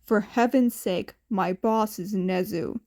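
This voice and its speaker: A woman voicing a blunt, protective grown man in a podfic story, with a dry, deadpan manner. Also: mid-range voice, takes it slowly, voice pushed down. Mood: dry, reassuring. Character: gruff, dry but protective adult man, hero.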